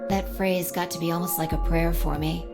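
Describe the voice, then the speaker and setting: An actress playing a composed, knowing young woman in a video game, speaking in a measured, matter-of-fact, slightly teasing way. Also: on the low side, flat delivery, gravelly, over music. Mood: earnest, reflective.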